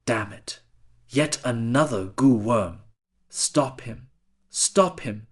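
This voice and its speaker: Casting: angry male clan fighter played by a man, lowish voice, slow menacing delivery, fantasy audiobook. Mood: outraged. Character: outraged male clan warrior, indignant and threatening.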